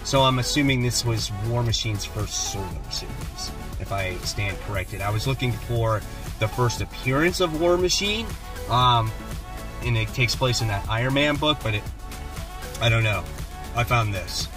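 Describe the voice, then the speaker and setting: A man in his forties hosting a casual vlog from a parked car, chatty and relaxed, filmed on a phone at arm's length. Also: mid-range voice, normal speed, over music. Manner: relaxed, chatty, enthusiastic about his finds. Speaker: comic book channel host. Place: parked car, then outdoors and inside a shop. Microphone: phone camera at arm's length.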